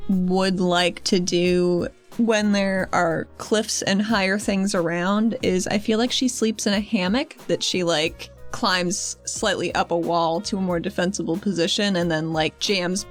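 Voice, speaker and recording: A woman's voice: fairly low pitch, unhurried, soft background music. A woman talking casually as a player, improvising descriptions of her character in a tabletop role-playing game. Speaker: player on a tabletop RPG podcast.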